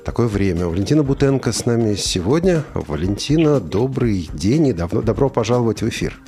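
A man's voice: fairly low pitch, big pitch swings, fairly quick, dark tone, faint music behind. A man hosting an online talk show, interviewing guests in a conversational way. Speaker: host.

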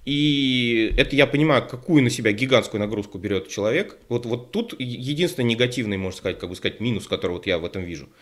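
A man's voice: mid-range voice, expressive, fast, hardly any pauses. A man co-hosting an online show, chatting casually and rambling with many fillers and restarts over a call microphone. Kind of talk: casual panel discussion.

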